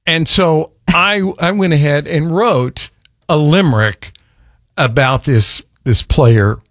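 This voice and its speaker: A man's voice: on the high side, takes it slowly, no room sound. A man co-hosting an evening radio show, bantering casually in a dry, teasing way. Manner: dry, teasing, reads a comic poem aloud. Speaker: radio co-host. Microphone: studio microphone.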